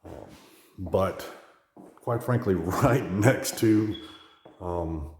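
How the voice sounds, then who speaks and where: Hoarse man touring an echoey empty house. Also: low-pitched, extremely slow. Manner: chatty, rambling, self-deprecating. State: hoarse, losing his voice. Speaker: real estate agent. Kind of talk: casual home tour vlog. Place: empty new house, indoors. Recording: echoey empty rooms, faint smoke alarm chirps.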